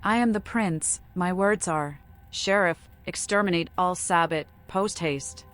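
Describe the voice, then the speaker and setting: A woman's voice: lowish voice, measured pace, a bit bright, faint music behind. A woman voicing a playful, cryptic, deranged female vampire in a video game, with an eccentric and whimsical manner. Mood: quirky, mocking. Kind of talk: modded video game voice acting.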